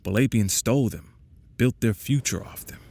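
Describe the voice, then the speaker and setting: A man's voice: fairly low pitch, normal speed, creaky, darkish tone. A man playing a laconic, probing confidant in an audio drama, speaking in terse, clipped phrases. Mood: pensive. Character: laconic, probing male confidant who follows conspiracies. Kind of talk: audio drama, conversational dialogue.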